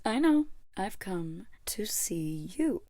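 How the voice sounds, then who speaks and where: A woman's voice: mid-range voice, sing-song, takes it slowly, dark tone. A woman acting a poised, cunning, seductive adventuress in an audio play, speaking in an insinuating way. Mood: teasing, confiding.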